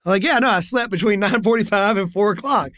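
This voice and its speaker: High-pitched guy in his 40s, lively storyteller, dry close sound. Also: fast, no echo. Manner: energetic storyteller, acts out quoted conversations. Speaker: business coach and channel host. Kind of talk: animated solo talk to camera.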